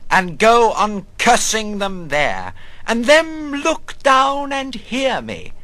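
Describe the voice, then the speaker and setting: A halting, half-mad old man. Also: high-pitched, wildly swooping pitch, pushed and bright, no room sound, full character voice. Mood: bitter, scornful. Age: older. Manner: halting, broken phrases with sudden outbursts. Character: old, half-mad, rambling, bitter but harmless wanderer. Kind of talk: dramatic literary monologue reading.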